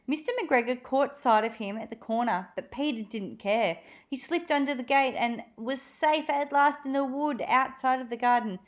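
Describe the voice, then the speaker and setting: A woman reading a storybook aloud for children in an expressive, animated way. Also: fairly high pitch. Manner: animated, acts out dramatic moments for young listeners.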